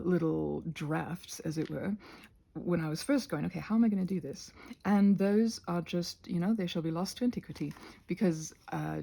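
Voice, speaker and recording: A woman with a soft, slightly husky voice rambles casually to viewers in an ASMR craft video, halting and self-conscious, drifting into asides. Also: fairly low pitch. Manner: halting and self-conscious, trailing off mid-thought, many asides.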